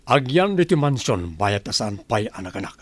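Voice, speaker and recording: A man's voice: fairly low pitch, sing-song, normal speed. A man playing a stern, controlling rich master of a house in a melodramatic audio drama. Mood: firm.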